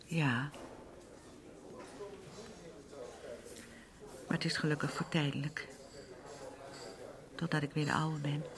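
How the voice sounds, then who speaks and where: An actress playing a fragile, needy wife in a radio play, childishly insistent and pleading, with a wandering way of talking. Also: female voice, deep voice, level tone, normal speed, a crowd in the background. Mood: wistful, hesitant. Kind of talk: Dutch radio play acting.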